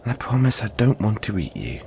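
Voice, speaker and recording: A man voicing a big, friendly, apologetic yeti in an audio roleplay, speaking gently to the listener in a halting, chatty, playful way. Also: on the low side, wildly swooping pitch, vocal fry, slight background noise, full character voice.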